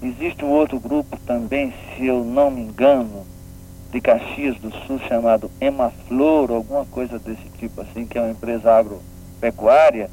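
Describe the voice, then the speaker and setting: A man's voice: medium pitch, expressive, normal speed, warm tone. A man from Pernambuco with a northeastern Brazilian accent, explaining things didactically as a radio interview guest and often checking with tag questions. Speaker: guest, regional development agency official. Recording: narrow phone-like band, faint noise.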